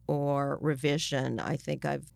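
Clear, low-voiced American woman explaining. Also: little pitch movement, no echo, studio quality. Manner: explanatory, emphatic, halting mid-sentence. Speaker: journalist being interviewed. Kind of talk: conversational podcast interview.

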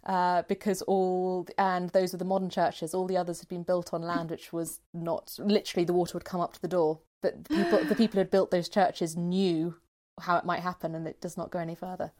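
Woman, slightly lower register, enthusiastic casual discussion of creative work, conversational delivery. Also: fairly quick, few pauses, a little mellow.

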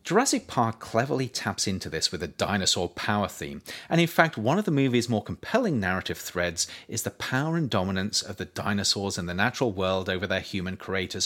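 Measured man reading his own book. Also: fairly low pitch, a bit bright. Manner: measured, emphatic reading that rises on key words and drops at sentence ends. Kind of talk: audiobook narration.

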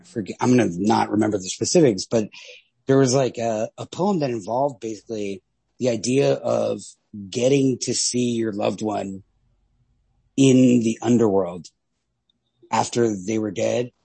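A man's voice: lowish voice, unhurried, gravelly, dark tone, breathy edge, no room sound, low-quality recording. A man co-hosting a podcast, discussing literature casually and thinking aloud in a tentative, hedging way. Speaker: podcast co-host.

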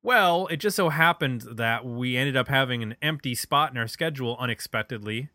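Middle aged podcast guy, chatty, bright voice, very dry sound. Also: medium pitch, normal speed, dry recording. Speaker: podcast host. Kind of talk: casual music podcast chat.

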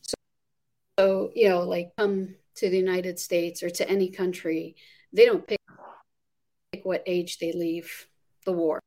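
A woman's voice: lowish voice, little pitch movement, measured pace, pauses often, mellow. A woman from Jordan, in her forties, guest on a remote interview, earnestly explaining her work with plenty of fillers. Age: 40s. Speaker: guest, nonprofit founder. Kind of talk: casual interview chat.

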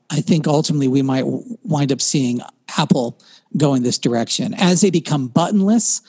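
A man's voice: medium pitch, darkish tone. A man co-hosting a tech podcast, explaining at length in a rambling way, with frequent fillers and restarts. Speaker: podcast co-host. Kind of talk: casual tech chat.

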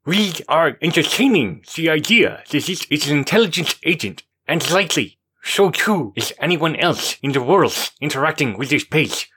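A composed, well-fed thinker, voiced by a male narrator lays out his ideas in a measured manner. His pitch sits noticeably higher than the narrator's own. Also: animated, bright, forceful tone, husky, exaggerated voice, rough recording. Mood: explaining. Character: calm, well-fed analytical man.

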